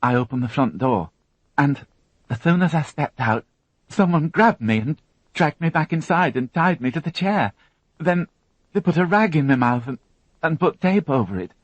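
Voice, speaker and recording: A man voicing a frightened young woman who witnessed a crime, tearful and halting, repeating her words as she talks. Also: a little high, expressive, dry recording, voice pushed up, rough recording. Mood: shaken.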